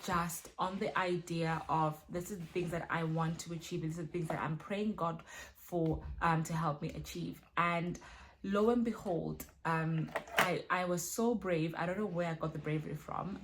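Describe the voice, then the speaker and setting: Chatty woman from Zimbabwe, lowish voice. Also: a little hiss or hum. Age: adult with children. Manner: chatty, many fillers and tag questions. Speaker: vlogger and women's ministry leader. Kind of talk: casual self-filmed Q&A. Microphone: phone.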